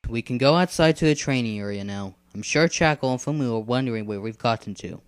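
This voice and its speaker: A man playing a patient, earnest animal assassin mentor in an animated drama, explaining things in a measured, instructive way. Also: mid-range voice, clear, resonant tone, dry recording, natural voice. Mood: helpful. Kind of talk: scripted animated drama dialogue. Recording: background music under the voice.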